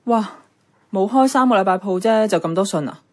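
A woman's voice: mid-range voice, fairly quick, a little hiss or hum, close to an everyday voice. An actress with a Hong Kong Cantonese accent playing a thoughtful, frank young woman in a radio drama. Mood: curious. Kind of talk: radio drama dialogue and narration.

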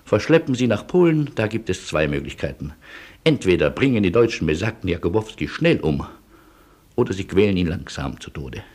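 A man playing an ironic, resigned Polish refugee who argues in a measured, philosophical way in a German radio play. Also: low-pitched, big pitch swings, a little mellow. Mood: bitter irony. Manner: measured, argumentative, wry.

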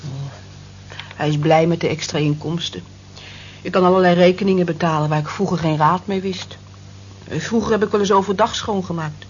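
An actress playing a modest married night cleaner, hesitant and earnest, in a Dutch radio drama. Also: female voice, on the low side, a little fast, pauses often, natural voice. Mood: defensive, plain. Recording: dull lo-fi old radio recording, faint noise.

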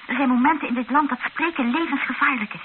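A faintly hissy, narrow radio drama recording features an actress as a fatalistic, resolute woman in danger. Her voice sits fairly high. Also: fast talker, natural voice. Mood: tense, resolute.

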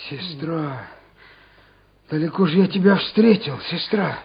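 A simple, kind-hearted peasant soldier in an old radio play. His voice is very breathy and rough, and he takes his time, musing in homely words. The recording is dull and dated. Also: on the high side, measured pace, no room sound, exaggerated voice. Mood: tender.

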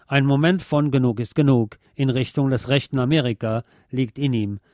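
A man's voice: medium pitch, moderate pace, warm tone, dry recording, studio quality. A man reading a scripted political and music commentary as a presenter.

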